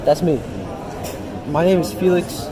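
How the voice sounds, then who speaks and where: A man's voice: a little high, steady tone, measured pace, dark tone. A young man vlogging outdoors on a campus, joking and mock-boasting in a casual, teasing way. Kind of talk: casual joking vlog chat. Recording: outdoor phone recording with traffic noise. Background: street and traffic noise.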